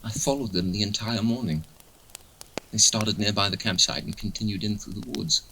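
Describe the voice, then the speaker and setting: Man acting a shaken young man, bright-voiced. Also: fairly low pitch, fairly even intonation, slight background noise, rough recording. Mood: subdued, fearful. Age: young adult. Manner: halting, stammering, haunted. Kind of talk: audio horror drama acting.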